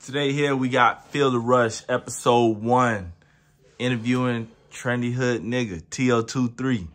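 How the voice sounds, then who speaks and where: A young man hosts a casual interview with a bright, forward voice. He keeps it easygoing and slangy, tossing in "man" at the end of his questions. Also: medium pitch, fairly even intonation, unhurried. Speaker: interview host.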